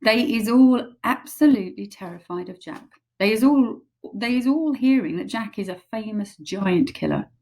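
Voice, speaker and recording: A woman voicing a big, gentle male giant who speaks in hushed tones with oddly mangled grammar. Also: fairly high pitch, moderate pace, voice pushed up.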